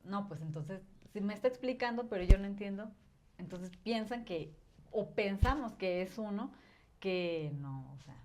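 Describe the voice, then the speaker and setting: A woman's voice: mid-range voice, animated, normal speed, background noise. A woman, a language educator and podcast guest, explaining at length in casual conversation with frequent filler words.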